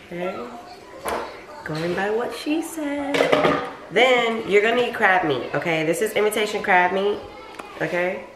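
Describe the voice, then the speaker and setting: A woman's voice: mid-range voice, unhurried, pauses often. A young adult woman talking casually through her cooking in a home kitchen, picked up by a camera a few feet away. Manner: informal, talking while busy cooking. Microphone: camera mic a few feet away. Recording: roomy, noisy kitchen camera recording.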